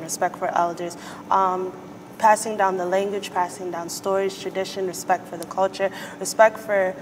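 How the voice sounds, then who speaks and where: Relaxed young woman, lots of "um". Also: medium pitch, in a large hall, noisy background. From United States. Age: young adult. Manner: relaxed, filling pauses with "um". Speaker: student guest. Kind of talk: interview answers. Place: indoor studio set. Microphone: lapel mic.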